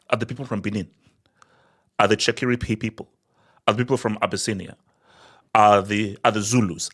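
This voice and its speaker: Emphatic Nigerian-born man arguing casually. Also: mid-range voice. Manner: animated, emphatic, repeats words when building a point. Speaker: podcast co-host. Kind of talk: casual football discussion.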